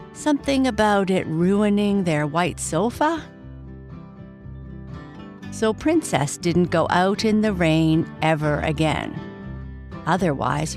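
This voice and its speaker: A woman's voice: lowish voice, darkish tone. A woman reading a scripted bedtime story for children as its narrator.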